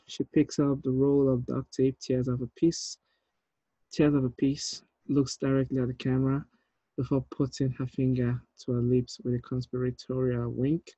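A man's voice: medium pitch, dark tone, no room sound. A man in his thirties directing a script read in a casual way over a video call. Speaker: director reading a screenplay at a table read. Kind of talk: casual script reading and notes. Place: indoor room on a video call. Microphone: video call with earpiece. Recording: dull video-call audio.

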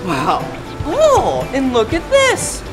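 A man's voice: very high-pitched, huge pitch swings, slow pace. A man presenting playfully for toddlers, exclaiming in an exaggerated, wide-eyed way and drawing out words. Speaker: children's show host. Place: indoor and outdoor play areas of a children's museum. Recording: music behind the voice.

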